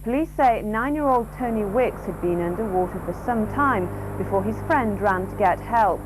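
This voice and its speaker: A woman reads a regional news bulletin from a script. Her voice sits at an average pitch, with faint noise and hum from an old television broadcast. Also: moderate pace. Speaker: regional newsreader.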